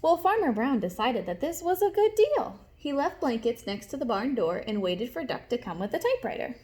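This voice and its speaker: Animated woman teacher reading to children. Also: sits high, fairly quick. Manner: expressive, explains words and asks questions to children. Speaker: kindergarten teacher reading aloud. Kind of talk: animated storybook read-aloud for young children.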